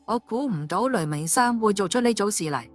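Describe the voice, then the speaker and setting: A woman's voice: medium pitch, animated, a little fast, faint music behind, natural voice. A woman playing an angry, suspicious policewoman and wronged wife in an audiobook drama, scolding bluntly. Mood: upset, indignant.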